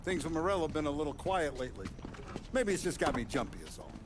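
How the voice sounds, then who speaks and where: Male, slightly high, animated: authoritative yet genial mob boss, crime game, clear background noise. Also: fast talker. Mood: genial, uneasy. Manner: authoritative, familiar. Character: commanding but genial mafia boss. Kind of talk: dramatic video game acting. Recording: noisy ambience behind the voice.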